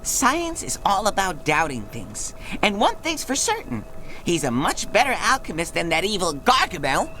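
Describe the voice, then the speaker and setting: A woman's voice: medium pitch, huge pitch swings, moderate pace, pushed and bright, low-quality recording. A woman playing a small, brainy, loyal cartoon Smurf in a video game, earnest and pedantic, with music behind. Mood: confident. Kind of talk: video game cutscene voice acting.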